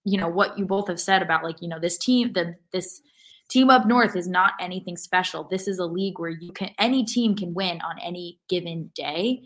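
A woman co-hosting a casual sports podcast, speaking in a measured, earnest way. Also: on the low side, a bit bright. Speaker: podcast co-host.

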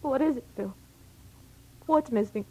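A woman playing a fragile, desperate femme fatale in 1940s radio melodrama, halting and emotional. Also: sits high, warm tone, husky. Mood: uneasy. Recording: vintage radio drama.

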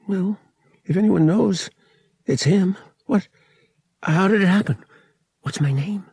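A man voicing an eager, curious young male hero in an audiobook, in a hushed, urgent, boyish way with a breathy, husky voice. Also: sits high, slow pace, no room sound, clean studio sound, voice pushed up, heavily acted. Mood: excited.

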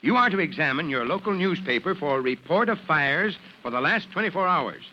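A man acting a gruff, commanding but caring fire chief in an old-time radio drama, with a barking, authoritative delivery. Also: on the high side, animated, a little fast, dull audio, close to an everyday voice. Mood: earnest, instructive. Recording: noisy old radio recording.

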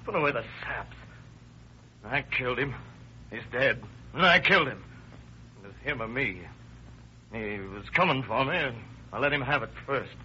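An actor playing a defiant, tough-talking murder suspect in an old-time radio crime drama, full of gangster slang. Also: male voice, mid-range voice, lively intonation, normal speed, long pauses, bright, forceful tone. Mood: desperate, defiant. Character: defiant hard-boiled male murder suspect, cornered criminal. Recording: dull vintage radio recording, faint noise.

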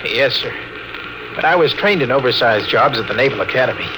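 Young male navigator played by an actor, respectful and keen, medium pitch, vintage space radio play with heavy noise. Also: expressive, a little fast, close to an everyday voice. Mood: dutiful. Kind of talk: old-time radio drama acting. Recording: noisy vintage radio drama recording.

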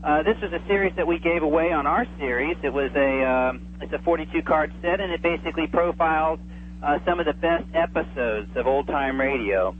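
A man's voice: on the high side, fairly quick. A man chatting casually and enthusiastically as a guest on a radio interview, calling in by telephone. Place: remote, calling in to a radio show. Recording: tinny phone line with noticeable hum.

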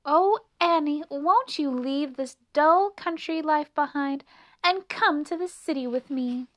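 Woman playing a grand, high-pitched city mouse lady. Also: lively intonation, unhurried, fairly bright tone. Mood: grand, boastful. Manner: grand, exclamatory. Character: grand, fun-loving female city mouse, bossy and excitable. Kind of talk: children's storybook character voice.

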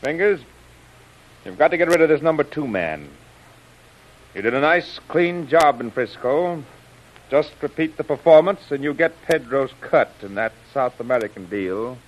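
A man's voice: medium pitch, expressive, moderate pace, lo-fi sound, lightly acted. A sinister gang chief from a classic radio crime serial, played by a male actor. He is sardonic and commanding, and he lays down orders in tough underworld slang with open scorn. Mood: scheming, commanding. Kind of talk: old-time radio crime serial acting.

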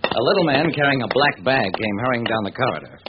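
Driven police detective narrator male actor, fast and wry, vintage radio crime serial with heavy hiss. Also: mid-range voice, expressive, lightly acted. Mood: tense, matter-of-fact. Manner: hard-boiled, wry. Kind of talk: old-time radio crime drama narration. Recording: dull, noisy vintage radio recording.

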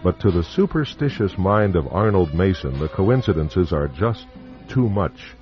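A man narrating an old-time radio drama in a measured, ominous storytelling manner. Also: sits low, sing-song. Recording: dull old radio recording with music behind.

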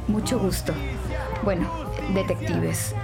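A woman's voice: on the low side, fairly even intonation, slow pace, creaky. A woman acting an insistent, accusatory parents' committee leader in an audio crime drama. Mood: urgent. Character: indignant, pushy woman leading a school parents' committee. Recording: music bed behind the voice.

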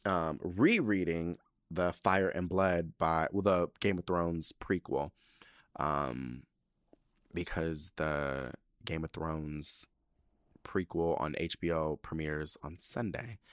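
A man in his thirties hosting his own podcast, talking casually in an animated, profane, rambling way. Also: deep voice, slow pace, smooth, no room sound, clean studio sound. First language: not Spanish. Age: 30s. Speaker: podcast host. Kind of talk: casual solo podcast talk.

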